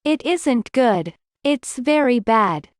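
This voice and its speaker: A woman reading scripted English lesson dialogue, slowly and clearly, in carefully spaced sentences. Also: fairly high pitch, lively intonation, no echo, studio quality.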